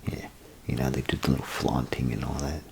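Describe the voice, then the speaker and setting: Very deep, gravelly half-whispering man. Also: flat delivery, background noise. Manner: unhurried, casual, folksy muttering with pauses. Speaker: ASMR performer playing a bathroom attendant. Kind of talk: ASMR role play, soft-spoken rambling to the listener.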